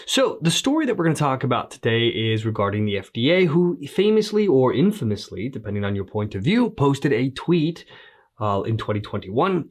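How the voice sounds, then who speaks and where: A man hosting an online news show, reading scripted commentary in a slightly crackly voice after the flu. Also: mid-range voice.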